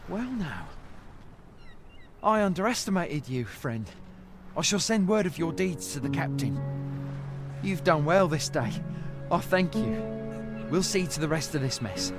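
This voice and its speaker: A comradely soldier from a fantasy game. His voice is high for a man and frank in delivery. Music is clearly heard underneath. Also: expressive, unhurried, long pauses. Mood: surprised, grateful. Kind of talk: fantasy video game acting.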